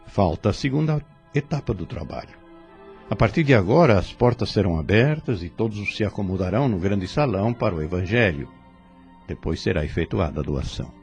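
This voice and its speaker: Man playing a deep-voiced, kindly Brazilian spirit instructor. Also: lively intonation, a little fast, darkish tone, lo-fi sound, soft background music. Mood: instructive, earnest. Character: calm, kindly male spirit instructor, earnest and devout. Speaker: spirit mentor in an audio drama. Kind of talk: didactic audio drama dialogue.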